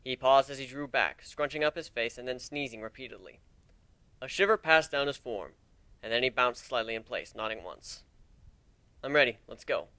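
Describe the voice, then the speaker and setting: Guy reading a story out loud like an audiobook, normal pitch, real dry sound. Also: animated, normal speed, smooth, dry recording. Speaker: narrator of a fan fiction reading. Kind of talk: dramatic audiobook narration.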